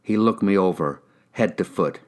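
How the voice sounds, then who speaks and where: A man's voice: low voice, normal speed, a little mellow. A man with an American accent reading first-person crime fiction aloud as a scripted narration. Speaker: audiobook reader, actor.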